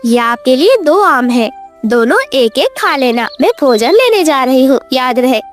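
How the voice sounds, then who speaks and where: A woman voicing a caring but strict mother sparrow in a children's cartoon, gentle yet warning. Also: high voice, expressive, unhurried, soft background music, voice pushed down. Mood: motherly.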